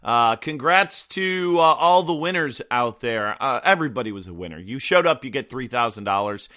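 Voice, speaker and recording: A man hosting a podcast in casual chat, his voice hoarse and rough, rambling with many fillers. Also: mid-range voice, animated, moderate pace, pushed and bright. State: hoarse after a weekend of travel and partying. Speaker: podcast host.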